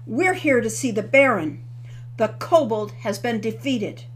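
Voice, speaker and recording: A woman reading as an eager teenage boy hero, earnest and formal, speaking a little high and pressing hard on the lines of his hero manual. The voice stays near her own, with a faint hum behind it. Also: expressive, measured pace. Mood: proud, grave.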